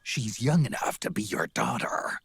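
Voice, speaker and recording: An actor playing a gruff, world-weary old soldier in a video game, with a very raspy growl and a terse, blunt, suspicious manner. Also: male voice, fairly low pitch, sing-song, moderate pace, a bit bright, heavily acted. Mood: accusing. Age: older. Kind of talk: dramatic video game voice acting.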